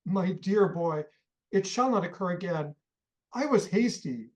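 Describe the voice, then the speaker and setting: A woman's voice: lowish voice, animated, measured pace, a little mellow. A woman voicing an irascible elderly rich man in a radio play, blustering and stubborn. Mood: pleading. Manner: blustering, pleading then threatening.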